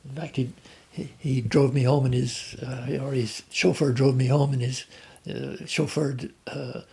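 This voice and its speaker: Gravelly older Irish man, reminiscing. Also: mid-range voice, flat delivery, a little slow, darkish tone. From Ireland. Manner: hesitant, with many restarts and fillers. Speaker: interviewee. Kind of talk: reflective conversational storytelling.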